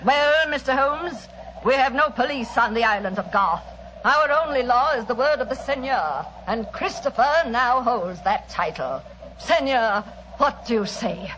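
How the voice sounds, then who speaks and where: An actress playing a menacing, domineering older woman guardian in 1940s radio drama, commanding, mocking and deliberate. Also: fairly high pitch, lively intonation, fairly bright tone. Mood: scornful. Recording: noisy 1940s radio recording.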